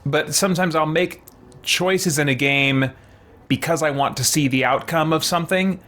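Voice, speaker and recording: A man with a mid-pitched voice hosts a gaming session in a casual, reflective way. He thinks aloud, hesitating and circling back to qualify what he says. Music plays underneath his talk. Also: fairly bright tone. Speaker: host of a game let's-play. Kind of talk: casual reflective chat to viewers. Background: background music.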